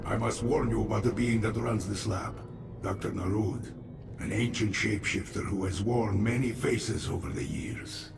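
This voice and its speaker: Deep, gravelly, haunted warrior adviser. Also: male voice, fairly even intonation. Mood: grim. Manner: ominous, measured. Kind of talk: video game cinematic acting. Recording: echoing, distant, music behind.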